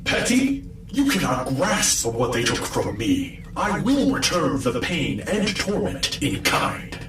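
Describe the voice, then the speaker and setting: Echoing, grandiose villain commander. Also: male voice, a little high, very animated, slow pace, noisy background. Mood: bitter, vengeful. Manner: grandiose, menacing. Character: vengeful male villain commander plotting conquest. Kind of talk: audio drama acting. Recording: echoing, distant-sounding voice.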